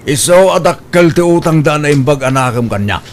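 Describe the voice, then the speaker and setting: A man's voice: on the high side, lively intonation, brisk, slight background noise. A man with an Ilocano accent playing a stubborn, grasping older father in a radio drama, haggling emphatically. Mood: self-satisfied.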